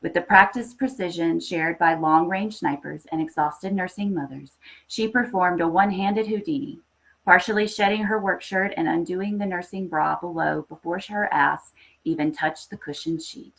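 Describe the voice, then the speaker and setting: A woman reading a literary horror short story aloud with expressive, scripted narration. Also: lowish voice, normal speed, creaky, poor audio. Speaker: story reader.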